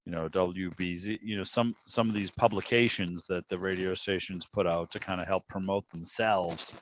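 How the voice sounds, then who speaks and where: Low-pitched man, muffled call audio. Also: fairly even intonation, normal speed, slight background noise. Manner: rambling, conversational, frequent "you know". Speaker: club meeting host. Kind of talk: casual video call chat. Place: indoors on a video call. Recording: dull, roomless video call audio.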